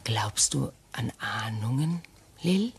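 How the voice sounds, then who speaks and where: An actress playing a shaken, wistful ageing widow in a radio crime drama, with halting, pause-filled delivery. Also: female voice, extremely low pitch, animated, slightly rough, full character voice. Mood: agitated recounting.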